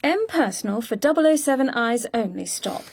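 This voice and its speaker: A woman acting a brisk, fussy, devoted secretary to a spy in a radio drama, eager and proper in her expressive delivery. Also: on the high side, slight background noise. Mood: reading out, pleased.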